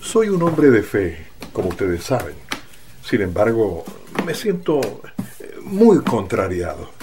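A man's voice: lowish voice, huge pitch swings, slow, noisy background, heavily acted. A man playing a courteous, hesitant Chilean son-in-law and father in a radio drama. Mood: troubled, earnest.

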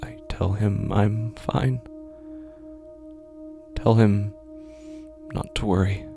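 A haunted, anguished man in a horror audio drama, speaking very low and slowly in halting fragments. His breathy delivery often sinks near a whisper, over a clear music bed. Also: steady tone, heavily acted. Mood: shaken, subdued. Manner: halting, intense, fragmented phrasing. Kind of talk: first-person audio drama monologue, scripted narration.

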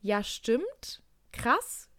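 A woman's voice: on the high side, sing-song, drawn-out words, a loud crowd. A young woman co-hosting a podcast, talking casually and thinking aloud with fillers and restarts. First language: German. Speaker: podcast co-host. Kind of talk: casual chat.

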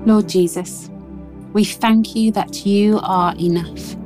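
A woman narrates a scripted devotional with music underneath. Her delivery is measured and reverent, with a reflective feel throughout. Her voice sits at an ordinary middle pitch for a woman. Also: steady tone. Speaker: devotional reader. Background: music behind the voice.

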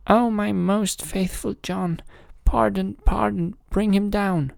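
A man's voice: on the high side, unhurried, creaky, warm tone, dry recording, pitched above the performer's own voice. A man voicing a grieving, devoted king in a fairy tale, with a lamenting, pleading manner.

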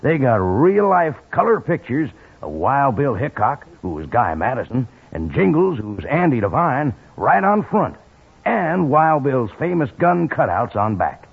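Folksy american announcer guy, husky and gravelly, selling stuff on tinny old radio. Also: medium pitch, very animated, unhurried. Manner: enthusiastic, chummy cowboy patter. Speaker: sponsor announcer. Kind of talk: 1950s radio advertising, folksy.

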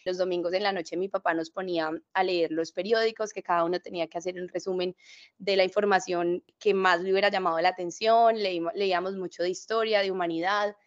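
A woman's voice: on the low side, steady tone, a little fast, highs cut off. A young woman from Cali, Colombia, with a blended Caleño and Paisa accent, chatting reflectively as a podcast guest. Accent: Colombian, mix of Cali and Paisa. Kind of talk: casual interview chat.

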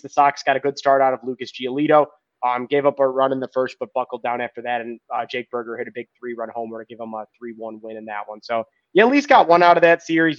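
A man's voice: medium pitch, dry recording, dull audio. Rambling male sports co-host. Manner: rambling with many "uh"s, trailing off quietly. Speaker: podcast co-host. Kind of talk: casual sports recap.

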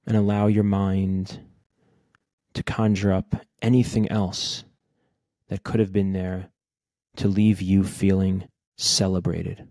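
A man with a deep, low-pitched voice guides a meditation. His delivery is gentle and unhurried, with little rise and fall, and he leaves long pauses between short phrases. The recording is close and dry. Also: little pitch movement, no room sound. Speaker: podcast host guiding a meditation.

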